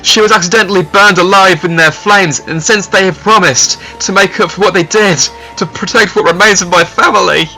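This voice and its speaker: Cartoon dragon dad, male actor: higher-set forceful voice, earnest and protective, defiant under strain, faint music behind. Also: brisk, few pauses, exaggerated voice, poor audio. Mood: grieving, bitter. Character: protective, defiant dragon father serving a queen. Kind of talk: animated fantasy drama acting.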